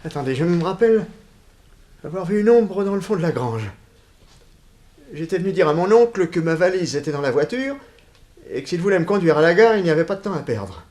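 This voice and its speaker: An actor playing an indignant, bewildered young man accused of murder, protesting haltingly in a 1960s French radio drama. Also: a little high, very animated, darkish tone. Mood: anxious recollection.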